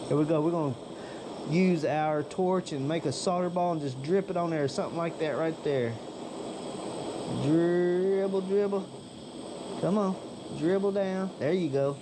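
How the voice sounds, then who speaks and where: Man, slightly high voice, folksy workshop chatter, faint background noise. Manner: folksy and chatty, talks to viewers as "y'all". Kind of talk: casual workshop narration.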